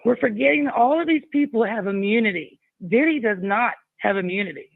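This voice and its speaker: High-voiced guy calling in on a phone line, gravelly, keeps pushing his point. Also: animated, a little fast, no room sound. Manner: insistent, rambling, talks over the host. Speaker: caller. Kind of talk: call-in on a live show.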